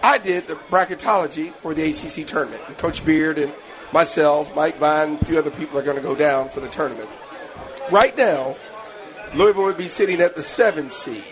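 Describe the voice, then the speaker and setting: A man hosting live sports talk radio from a restaurant, excitable and full of banter and exclamations. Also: on the high side, fairly quick. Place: busy restaurant, live remote broadcast. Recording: busy restaurant crowd behind. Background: restaurant crowd.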